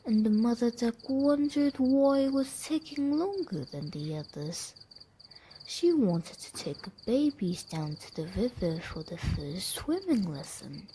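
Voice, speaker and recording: A woman narrates a children's bedtime tale with a mellow tone and a very lively, swooping delivery. She takes her time and speaks straight to the listener. A faint noise sits behind her. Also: fairly high pitch. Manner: dramatic, deliberate, addresses the listener. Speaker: storyteller.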